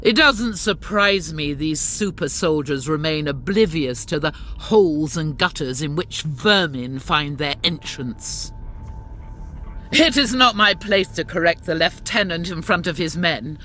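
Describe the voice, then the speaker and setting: A woman's voice: sits low, expressive, measured pace, a bit bright, a little hiss or hum. A woman playing a zealous, stern warrior sister in a game, speaking in solemn, pious, formal lines with music behind her. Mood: grim resolve. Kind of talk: dramatic scripted game narration and dialogue.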